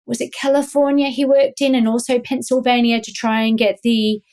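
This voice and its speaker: A woman's voice: a little high, little pitch movement, normal speed, a little mellow. A woman with a Melbourne accent, speaking as a guest in a casual interview, rambling with many ums and restarts. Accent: Australian (Melbourne). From Australia. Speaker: guest, activist.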